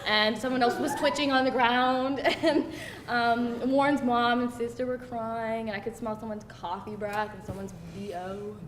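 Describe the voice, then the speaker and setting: A woman's voice: on the high side, moderate pace, close to an everyday voice. A woman acting a candid, wry young woman telling stories in a live screenplay reading. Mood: indignant, amused. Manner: animated, hesitant storytelling peppered with laughter. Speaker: actor at a screenplay table read. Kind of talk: conversational live script reading. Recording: echoey room with faint noise.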